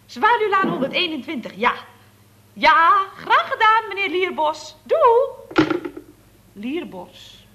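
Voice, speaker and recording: A woman's voice: very high-pitched, sing-song, slow, pauses often, bright, forceful tone, muffled recording, exaggerated voice. An actress playing a chatty, cheeky female secretary at a building firm in a 1960s radio play. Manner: gossipy, sing-song phone manner.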